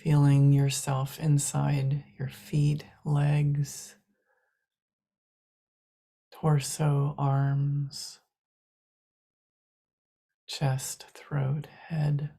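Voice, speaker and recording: Slow, low-voiced woman guiding meditation. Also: completely flat delivery, gravelly, husky. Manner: soft, hushed, long pauses between short phrases. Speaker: meditation guide. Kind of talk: guided meditation.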